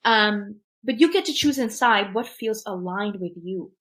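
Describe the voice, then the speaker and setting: A woman's voice: mid-range voice, animated, measured pace, fairly bright tone. A woman whose first language is Urdu, talking through personal experiences and advice in an emphatic, reflective way and often checking in with "right?". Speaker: podcast guest, technologist.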